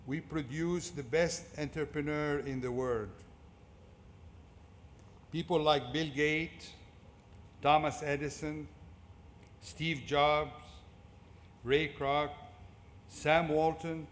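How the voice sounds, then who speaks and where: A middle-aged man from Syria with a Syrian Arabic accent, giving a prepared motivational commencement speech in deliberate short phrases. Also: on the high side, fairly even intonation. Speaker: commencement speaker. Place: large indoor fieldhouse at a ceremony. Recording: echoey fieldhouse PA with clear background noise.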